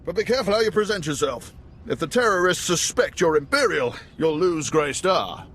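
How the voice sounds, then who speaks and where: Older male intelligence chief, genial and measured, fairly high voice, game dialogue over noisy ambience. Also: animated, a bit bright. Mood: confiding, assured. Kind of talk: video game dialogue acting.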